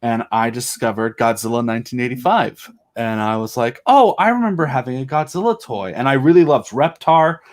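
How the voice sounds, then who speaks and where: A man's voice: medium pitch, animated, a little fast, few pauses. A man from the southern United States chatting casually as a podcast guest, joking and telling personal stories.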